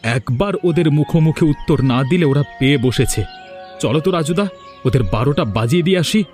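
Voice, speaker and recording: Man voicing a earnest, wronged village man, high-pitched. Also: animated, a little fast, darkish tone, faint music behind, close to an everyday voice. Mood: outraged. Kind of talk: cartoon voice acting.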